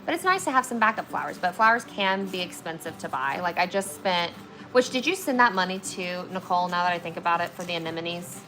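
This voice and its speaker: Bright-voiced chatty woman in her twenties. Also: lowish voice, lively intonation, fairly quick, soft background music, low-quality recording. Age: 20s. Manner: chatty, filler-heavy, often checks in with "okay?". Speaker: flower preservation artist and livestream host. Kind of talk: casual livestream chat while working, reading viewer comments.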